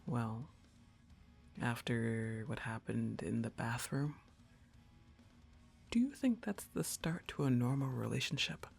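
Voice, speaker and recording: A woman acting as a young goth roommate in an intimate ASMR roleplay, laid-back and affectionately teasing. She talks slowly, with a lot of creaky fry in her voice, in a casual conversational way. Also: a bass voice, a little mellow, soft background music, heavily acted. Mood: soft, teasing, affectionate.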